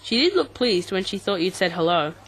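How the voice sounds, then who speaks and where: A teasing teenage boy from a radio drama. The voice is high and playful, egging a friend on in short, clipped phrases. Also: sing-song, fairly quick, fairly bright tone, slightly rough, faint music behind, full character voice, poor audio. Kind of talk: radio drama acting for English learners.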